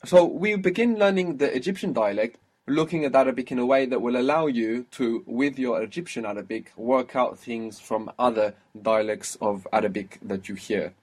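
A man teaching a one-on-one language lesson, patiently explaining and spelling out sounds slowly. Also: mid-range voice, a little mellow, breathy edge. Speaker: Arabic teacher.

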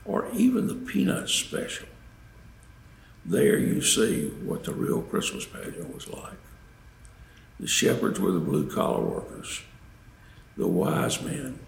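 An older man preaches through storytelling, his low voice very gravelly and creaky. He is folksy and emphatic, swooping widely in pitch and pausing for effect. The room adds echo. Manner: folksy, dramatic pauses and emphasis. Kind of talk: devotional holiday storytelling to an audience. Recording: roomy echo, faint background noise.

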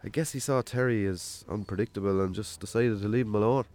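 An actor playing a wry, self-deprecating rural Irish farmer in a radio drama, telling stories colloquially. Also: male voice, lowish voice, fast, a little mellow, lightly acted. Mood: amused, wry. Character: Jamesy, rural bachelor farmer, self-deprecating storyteller on a date. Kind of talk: naturalistic radio drama acting.

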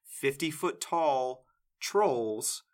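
A man's voice: medium pitch, lively intonation, extremely slow. A man co-hosting a podcast, chatting casually and enthusiastically, rambling with lots of fillers. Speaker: podcast co-host. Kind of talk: casual chat.

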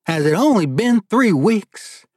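A man playing an excitable, high-voiced hearty father. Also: brisk, no echo, studio quality. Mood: warm, hearty. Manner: thick accent, hearty. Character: excitable, warm middle-aged father, restaurant owner.

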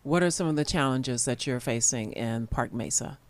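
A woman's voice: extremely low pitch, moderate pace, no room sound. Woman radio host on a dry studio mic. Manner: friendly, conversational questions. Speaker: radio talk show host. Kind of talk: hosting a radio interview.

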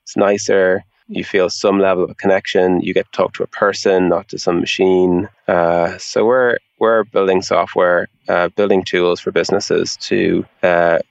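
A man's voice: sits low, little pitch movement, moderate pace, vocal fry, few pauses, no echo, highs cut off. A man being interviewed, explaining things in an informal, conversational way with a lot of filler phrases like "you know". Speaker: interview guest, tech product executive.

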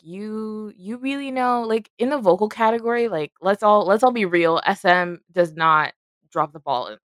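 A young woman chats casually as a podcast co-host, her voice sitting a little low. She talks loosely, full of "like" and "y'all". The recording is close and dry, with no room sound. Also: clear, resonant tone, dry recording. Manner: chatty, uses lots of "like" and "y'all". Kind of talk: casual chat.

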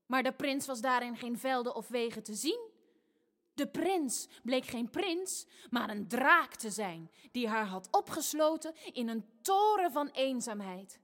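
An actress playing a storyteller in a theatrical fairy-tale radio play for families. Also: female voice, sits high, big pitch swings, a little slow, a bit bright, exaggerated voice. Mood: dramatic, storytelling. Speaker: narrator. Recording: long hall reverb.

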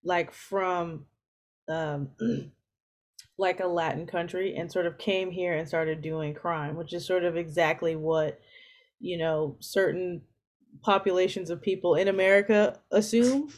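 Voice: mid-range voice, steady tone, measured pace. A guest talks casually and bluntly about a film. The tone is frank and direct, with wry throwaway lines. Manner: blunt and frank, dry asides. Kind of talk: casual conversation.

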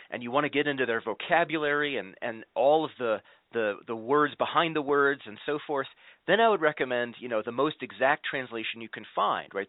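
A man's voice: medium pitch, no room sound, phone-quality sound. A man explaining as a guest scholar in an interview, in a teacherly way, often checking in with "right?". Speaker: guest scholar, professor of scripture.

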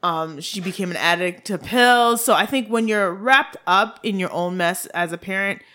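A co-host with a Haitian accent and a bright, edgy tone talks through a true-crime story. This person chimes in with short agreements and dry asides, speaking at a middle pitch. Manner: quick agreeing interjections, dry jokes. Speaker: podcast co-host. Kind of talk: casual true-crime chat.

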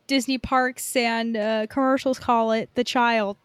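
High, clear-voiced American woman, chatty podcast host. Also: steady tone, measured pace, no room sound, clean studio sound. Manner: informal and conversational, peppered with "like". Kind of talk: casual podcast chat.

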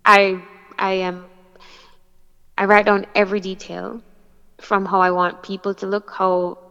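Jamaican woman, young adult, bright forceful voice, animated chat, long reverb, dull muffled sound. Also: medium pitch, a little slow, clean tone. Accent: Jamaican, with some American influence. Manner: animated, with frequent fillers and restarts. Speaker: dancehall singer, guest. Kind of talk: casual interview talk.

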